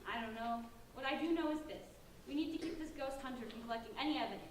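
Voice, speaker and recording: A woman playing a bossy, sharp-minded teenage girl in an amateur staged reading, recorded in an echoey room. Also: high voice, quick pace, close to an everyday voice. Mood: reasoning. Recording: very echoey room, distant mic, faint noise.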